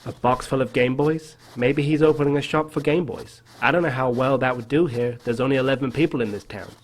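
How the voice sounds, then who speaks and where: A man playing a reflective, gently wry small-town man who narrates his own story in a video game. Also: medium pitch, steady tone, fairly quick, natural voice. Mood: wry musing. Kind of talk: first-person game narration. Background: background music.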